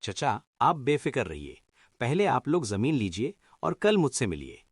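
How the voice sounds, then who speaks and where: A clever young son from a village, voiced by a man in a cartoon story. He sounds confident and reassuring, and his pitch moves lively. The recording is dry. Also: moderate pace, breathy edge, no echo.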